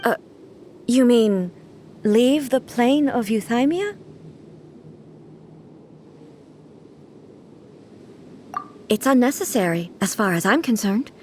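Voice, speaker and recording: A woman voicing a calm, aloof female ruler deity in a video game, speaking in a formal, measured, reserved manner. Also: fairly high pitch, a little hiss or hum. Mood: composed, musing.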